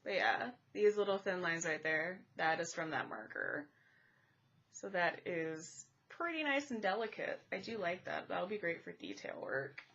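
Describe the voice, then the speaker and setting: A woman who is congested and a little raspy, chatting casually about art supplies while she draws at home. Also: fairly low pitch, lively intonation, unhurried, a bit bright, dull audio. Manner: chatty, thinking aloud while drawing. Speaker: art vlogger. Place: home, indoors at a table. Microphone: camera overhead pointed at the paper. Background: furnace and cat noises.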